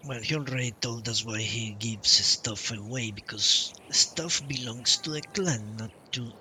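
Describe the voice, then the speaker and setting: Slow-talking man with a very bright, forceful voice. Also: mid-range voice, a little hiss or hum. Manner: blunt, swears casually. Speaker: game streamer. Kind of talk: casual live gameplay commentary. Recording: faint game music behind voice. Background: game music.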